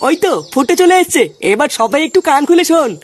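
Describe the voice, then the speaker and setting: A woman's voice: sits high, expressive, quick pace. A woman voicing a bossy, boozy young male ringleader in a comedy cartoon, brash and ordering friends around.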